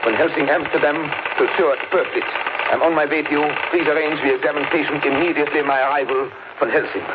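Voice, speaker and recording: A man acts out a businesslike professor sending urgent word. The delivery is rapid and clipped at a slightly raised pitch. The 1930s radio sound is dull and very noisy. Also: fairly even intonation, natural voice. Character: brisk professor dictating an urgent telegram. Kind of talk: 1930s radio drama acting.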